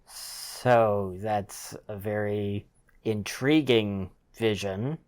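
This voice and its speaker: Unhurried man with a clear, smooth voice. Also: on the low side, a little mellow. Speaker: player in a tabletop roleplaying game. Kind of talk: casual game-table talk.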